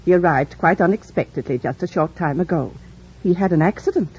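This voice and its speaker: A woman's voice: lowish voice, fairly quick, a little mellow, husky. A woman playing a kindly, fussy older housekeeper in an old radio drama, chatty, effusive and deferential. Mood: welcoming, chatty. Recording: dull, slightly noisy vintage radio broadcast.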